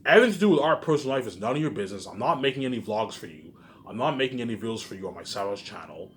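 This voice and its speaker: A man's voice: fairly low pitch, animated, racing, fairly bright tone, a little hiss or hum. A man in an agitated, confrontational unscripted rant, repeating himself and swearing often. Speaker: online video maker.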